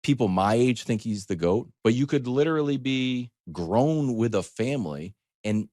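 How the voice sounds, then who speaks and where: A man's voice: medium pitch, lively intonation, moderate pace, a little mellow, no room sound, clean studio sound. A man talking casually as a sports show host, telling anecdotes and giving opinions in an emphatic, conversational storytelling manner.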